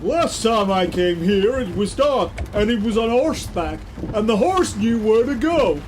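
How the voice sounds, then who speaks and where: A man playing a dry, skeptical brother on a road trip in a comic audio drama, with drawn-out, animated delivery. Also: high voice, noisy background.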